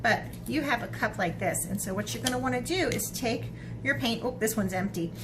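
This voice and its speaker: A woman art teacher talking to young children in an expressive, encouraging way, as in a lesson or picture book read-aloud. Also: on the high side, a little fast, background noise. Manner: expressive, encouraging talk aimed at young children, with dramatic gasps.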